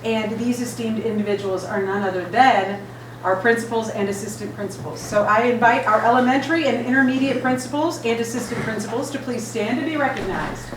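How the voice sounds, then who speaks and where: A woman's voice: fairly high pitch, fairly quick. A woman hosting an awards ceremony, reading formal, upbeat announcements. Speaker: event emcee. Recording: echoey hall from far off, noisy.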